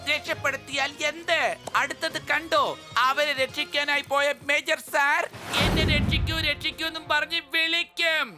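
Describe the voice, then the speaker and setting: A man's voice: very high-pitched, flat delivery, normal speed. A man voicing a boastful, scheming villain boss who gloats and brags in a children's cartoon. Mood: gloating. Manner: gloating, bragging. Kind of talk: dubbed children's cartoon acting. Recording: loud music behind the voice.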